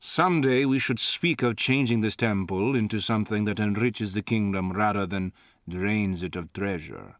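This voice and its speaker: A man playing an arrogant, scheming noble prince, mocking and threatening in a measured way, in a visual novel. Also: lowish voice, dry recording, studio quality, natural voice. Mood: coldly menacing. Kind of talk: dramatic visual novel acting.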